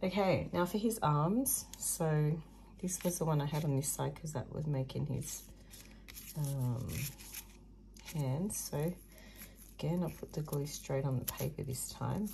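Woman, hoarse croaky voice, dark mellow tone, chatty craft tutorial. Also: sits low, unhurried, slight background noise, poor audio. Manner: chatty, muttering asides while working. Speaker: craft video host. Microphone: overhead camera above a table, hands only.

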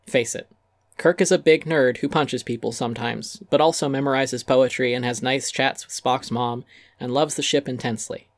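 Man narrating an essay, medium pitch. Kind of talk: scripted essay narration, dramatic reading.

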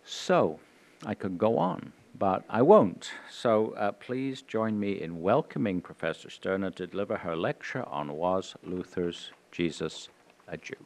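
Older guy at the podium mic, lowish voice, reading off a speaker intro. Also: lively intonation, vocal fry. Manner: measured, reading a speaker's credits. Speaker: event host introducing the speaker. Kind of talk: formal introduction. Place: large auditorium at a podium. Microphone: podium microphone.

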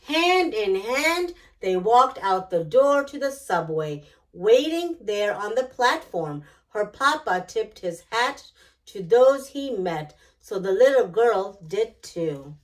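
A middle-aged woman hosting storytime, reading a picture book aloud in an expressive, deliberate way. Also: medium pitch. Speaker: children's storytime host. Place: small decorated indoor storytime set.

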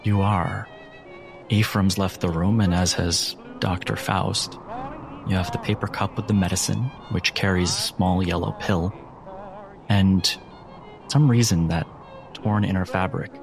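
Low, slow man narrating a horror game. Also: fairly even intonation, creaky. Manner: deliberate, pausing between phrases. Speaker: game master. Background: music.

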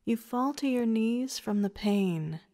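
A woman narrating a scripted story in a soft, breathy way that lapses into whispers. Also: a little high, animated, slow pace. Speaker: narrator and voice performer. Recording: reverberant hall-like echo.

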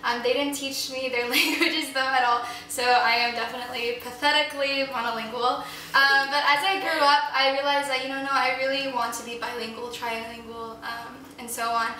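A young woman talks freely and pokes fun at herself, often breaking into laughter. Her voice is bright and pitched fairly high. The room echoes heavily, and a distant camera mic catches her with faint hiss. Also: level tone, normal speed. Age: young adult. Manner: chatty, self-deprecating, laughs often. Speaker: panel guest. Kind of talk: casual chat. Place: echoey living room indoors. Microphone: far camera mic.

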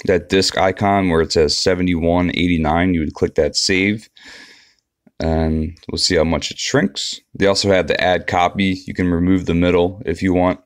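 A man's voice: low voice, level tone, normal speed, clear, resonant tone, dry recording, clean studio sound. A man giving a casual, unscripted walkthrough of an app as a tutorial presenter.

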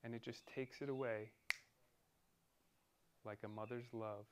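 A man leading a guided meditation in a soothing, deliberate manner, pausing between short phrases. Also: mid-range voice, fairly even intonation, dull audio, background noise.

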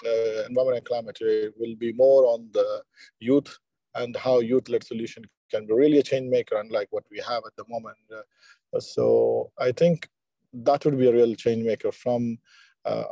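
A man giving halting, unscripted remarks as a panel speaker on a video call. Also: medium pitch, warm tone, dry recording, poor audio. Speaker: youth activist, panel speaker.

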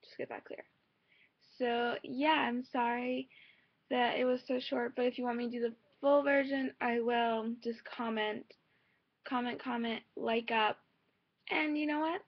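Young female vlogger, slightly high voice, informal rambling chat, muffled lo-fi laptop recording. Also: fairly even intonation, measured pace. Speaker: amateur singer and vlogger. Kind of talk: casual chat to viewers. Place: bedroom, indoors. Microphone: laptop's built-in mic at arm's length. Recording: dull lo-fi laptop microphone.

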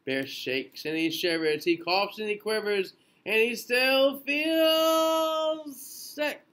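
A man in his thirties reading a children's picture book aloud in an expressive, sing-song way with drawn-out phrases. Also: high-pitched. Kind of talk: children's storybook read-aloud. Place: small indoor room.

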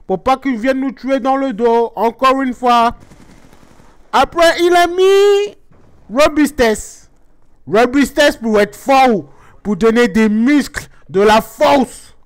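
Comic mom character: man playing a high, smooth-voiced Guadeloupean mother, chatty and naive. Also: slow, a bit bright, exaggerated voice. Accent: Guadeloupean French. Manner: rambling, earnest, mock-naive explanations. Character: chatty middle-aged Guadeloupean mother, naive about games. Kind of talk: comic gameplay commentary in character.

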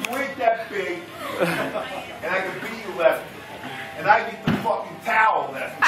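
Brash man, strikingly high raised voice. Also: animated, normal speed. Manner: brash, swearing, goading. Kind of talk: rowdy competitive trash talk. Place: busy barbershop salon, indoors. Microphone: handheld camera from across the room. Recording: roomy, noisy, distant camera audio.

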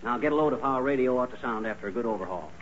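A man's voice: mid-range voice, very fast, a bit bright, lightly acted. A man playing a stubborn, boastful, bumbling husband in a 1940s radio comedy, brash and folksy. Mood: defiant. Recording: noisy, muffled 1940s radio recording.

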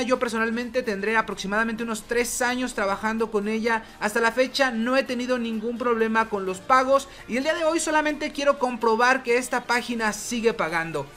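A woman with a somewhat high voice narrates a screen-recorded walkthrough. She explains each step in a steady, even way and speaks directly to the viewer as the channel host. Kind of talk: screen-recorded tutorial voice-over.

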